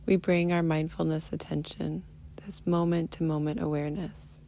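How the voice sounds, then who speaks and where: Female meditation guide, very even and low, soothing and unhurried, drifting toward a whisper. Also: slight background noise. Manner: soothing, unhurried, often trailing into breathy near-whisper. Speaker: guided meditation teacher, podcast host. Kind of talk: guided meditation, soft instruction.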